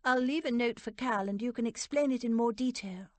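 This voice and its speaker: A woman voicing a caring, decisive young English lady with a brisk, practical manner in a storybook reading. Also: fairly high pitch, dry recording, voice pushed up, close to an everyday voice. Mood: brisk, resolute.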